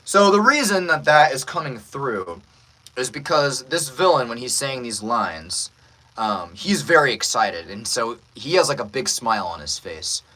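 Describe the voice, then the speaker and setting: A man's voice: medium pitch, big pitch swings, measured pace, sharp tone, rough recording. A man who works as a voice actor, hosting a casual livestream and politely answering viewer comments with self-deprecating asides.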